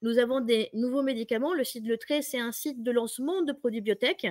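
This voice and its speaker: A woman's voice: on the high side, a little fast, a little mellow, no echo, clean studio sound. A woman from Mexico with a Mexican Spanish accent, explaining her work as an interview guest. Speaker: interviewed biotech industry professional.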